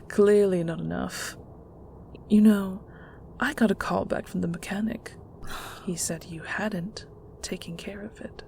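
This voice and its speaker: A woman playing a controlling, middle-aged mother in an audio roleplay, with condescending sweetness and a patronizing, deliberate delivery. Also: mid-range voice, fairly even intonation, vocal fry, a little mellow, slight background noise. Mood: condescending reproach. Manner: patronizing, slow, deliberate interrogation.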